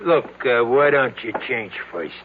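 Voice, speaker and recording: An actor playing a brusque, dismissive husband in an old-time radio drama. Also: male voice, mid-range voice, lively intonation, a little slow, a little hiss or hum, lightly acted. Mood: brusque, sly. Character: brusque, dismissive husband who bosses his wife. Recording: tinny phone-like vintage radio.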